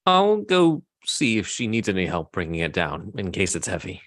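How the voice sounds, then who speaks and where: A man's voice: low voice, very animated, normal speed, fairly bright tone. A man at a table read, playing a polite, helpful man in dialogue read from a script. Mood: polite, casual. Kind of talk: reading script dialogue aloud.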